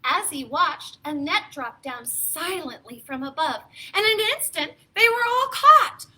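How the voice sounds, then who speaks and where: Female children's storyteller, very high voice, slow expressive pacing, playful read-aloud delivery. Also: rough recording. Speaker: children's storytime host and reader.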